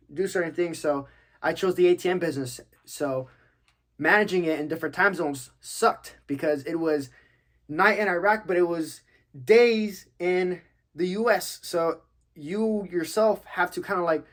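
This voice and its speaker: A young man from Texas with a slightly high voice chats as a podcast host. He takes listener questions in a loose, joking manner, peppered with slang and swearing. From United States, Texas. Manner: laid-back, slangy, profane, joking. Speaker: podcast host, active-duty military. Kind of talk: casual Q&A podcast chat, answering listener questions.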